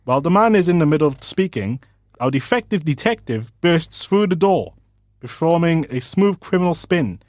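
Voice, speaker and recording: A man's voice: fairly high pitch, animated, moderate pace, a little mellow, dry recording, studio quality. A man narrating a comedic scripted radio drama.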